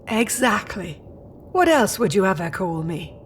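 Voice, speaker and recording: A woman's voice: mid-range voice, sing-song, measured pace, a little hiss or hum. Woman acting a prim, proud old-fashioned British matriarch. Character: old-fashioned proud British grandmother-to-be, stern yet doting. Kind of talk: audio drama acting.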